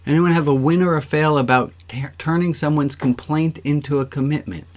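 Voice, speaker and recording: A man's voice: fairly high pitch, fairly even intonation, fairly quick. A man speaking as a rabbi at an informal online service, conversational and often putting questions to the group. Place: online video-call gathering.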